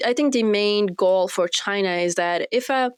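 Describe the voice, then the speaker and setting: Smooth-voiced woman guest from Morocco. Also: mid-range voice, no room sound, clean studio sound. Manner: measured, many fillers and restarts. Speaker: research fellow guest. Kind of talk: interview answers.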